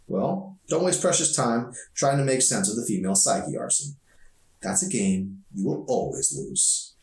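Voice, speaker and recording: A man's voice: on the low side, animated, a little slow, darkish tone. A man voicing a confident, playful, sarcastic teenage girl in an audiobook reading. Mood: smug, teasing. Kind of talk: audiobook dialogue. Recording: echoey room, distant mic.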